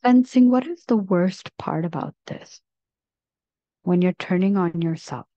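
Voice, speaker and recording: A woman leading a guided meditation in a gentle, measured manner, leaving long pauses between phrases. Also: fairly low pitch, lively intonation, no echo, muffled recording.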